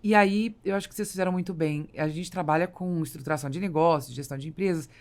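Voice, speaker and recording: A Brazilian woman with a creaky voice hosting a conversational business podcast, explaining and affirming as she interviews. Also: low voice, quick pace, a little mellow. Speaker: podcast host and business consultant.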